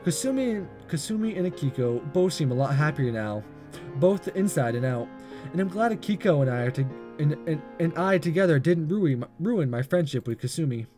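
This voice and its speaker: A man reading a visual novel aloud as a gaming host, expressive but amateur, stumbling and rereading lines. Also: fairly high pitch, moderate pace, soft background music.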